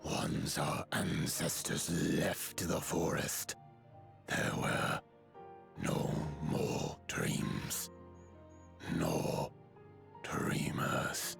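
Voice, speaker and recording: An extremely low, heavily creaking voice of a solemn giant elder, delivered very slowly in stately, archaic phrasing. It is fantasy game dialogue with music underneath. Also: male voice, sing-song, a bit bright, heavily acted. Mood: grave. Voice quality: deep, gravelly, breathy at phrase ends. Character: solemn giant elder of an ancient mountain people.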